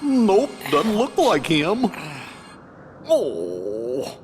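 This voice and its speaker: Goofy, drawling high-voiced cartoon knight. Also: male voice, huge pitch swings, extremely slow, big-room sound, loud music behind the voice, exaggerated voice. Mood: curious. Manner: folksy, bumbling, polite. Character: goofy, good-natured male cartoon animal, knight captain. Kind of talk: animated game cutscene acting.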